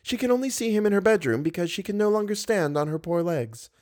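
A household servant in a storybook reading, with a higher, lighter delivery, as a male narrator puts it on. The voice moves freely in pitch. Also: expressive, fast talker, darkish tone. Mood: plain.

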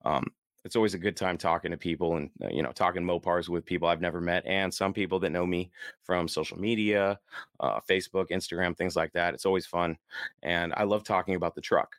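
Male hobby-show host, low voice, hoarse and worn, easygoing rambling delivery, dry recording. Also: steady tone, a little fast, a bit bright, no echo. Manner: easygoing, chuckles often, rambling enthusiast. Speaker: host of a car enthusiast show. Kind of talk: casual solo chat to listeners.

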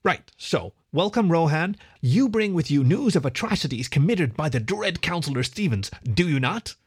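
A man's voice: fairly high pitch, big pitch swings, moderate pace, slightly rough, no room sound, studio quality, pitched above the performer's own voice. A man voicing a bossy, irritable young feline club leader with a formal, commanding manner in a storybook.

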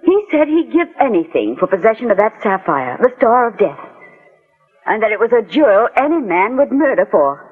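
A woman's voice: a little high, very animated, normal speed, slightly rough, narrow, tinny audio. A woman playing an assertive, haughty society lady, formal and dramatic, in 1940s radio drama style. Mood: accusing. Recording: band-limited old 1940s radio recording.

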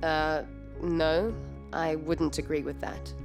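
A composed, businesslike project leader played by a woman in a time-travel audio drama. Her voice sits fairly low. She speaks formally and precisely, in measured phrases. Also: little pitch movement, faint music behind. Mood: firm, formal.

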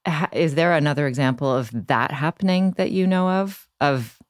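A woman's voice: deep voice, moderate pace, no room sound, studio quality. A woman hosting a podcast in a conversational interview style. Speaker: podcast host.

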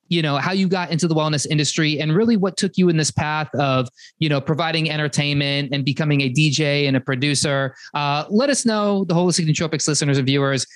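A man's voice: a little high, no echo. Dry-recorded man hosting a podcast. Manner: jokey, upbeat, quick asides. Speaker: podcast host. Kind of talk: casual podcast interview.

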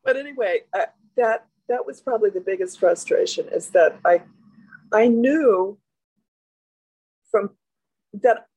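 A woman in her seventies, a guest talking candidly and a little haltingly about her experience. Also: medium pitch, darkish tone, breathy edge, dry recording, rough recording. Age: 70s. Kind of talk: interview.

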